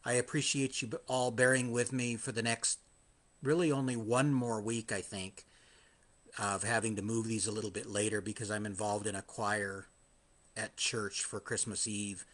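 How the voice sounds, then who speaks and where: A man hosting his own live podcast, a little hoarse after hours of singing, talking in a chatty, self-deprecating way with frequent restarts. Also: fairly low pitch, a bit bright. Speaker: podcast host. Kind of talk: live-streamed casual monologue.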